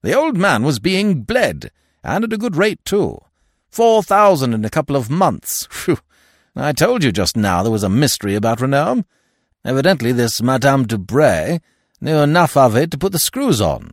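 A man's voice: medium pitch, big pitch swings, normal speed, no room sound, clean studio sound, voice pushed up. A man voicing a brash, blunt, loyal secretary in an audiobook mystery, speaking in slangy, emphatic, indignant bursts. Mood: emphatic, certain. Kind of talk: acted dialogue in audiobook mystery.

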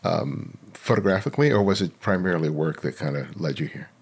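Male podcast host, deep rough voice, animated, chatty delivery. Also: a little fast. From United States. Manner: chatty, hesitant restarts when asking questions. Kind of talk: interview podcast. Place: home studio, Southern California.

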